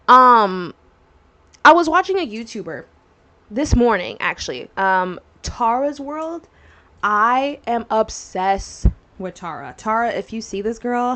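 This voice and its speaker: Woman in her twenties, podcast host, rambly casual chat, lots of likes and ums, slightly high voice. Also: a bit bright. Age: 20s. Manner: rambling, chatty, lots of fillers and tangents.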